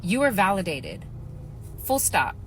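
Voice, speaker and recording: A woman delivering a deliberate, lecturing monologue for a social media video. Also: mid-range voice, huge pitch swings, fairly bright tone, soft background music.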